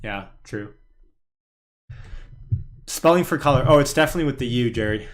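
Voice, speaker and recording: A man's voice: mid-range voice, animated, moderate pace. Young guy from canada on a live stream, chatty, laughs reading chat, market talk. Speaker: livestream host and day trader.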